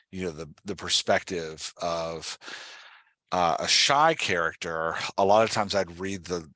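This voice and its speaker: A man's voice: sits low, a bit bright, dry recording. A man hosting a conversational coaching interview, explaining with examples and often checking that he is understood. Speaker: podcast host and writing coach.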